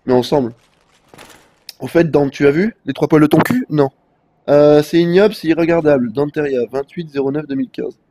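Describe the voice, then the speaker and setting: Young male streamer, stuffy with a cold, slangy and rambling, slightly high voice. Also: brisk, dark tone, slight background noise. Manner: rambling, slangy, frequent fillers. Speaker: live-streaming gamer. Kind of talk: casual livestream chat.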